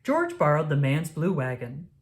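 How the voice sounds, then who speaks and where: A woman reading a children's story aloud, with expressive, deliberate phrasing for young listeners. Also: low voice. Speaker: storybook reader. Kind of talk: children's read-aloud narration.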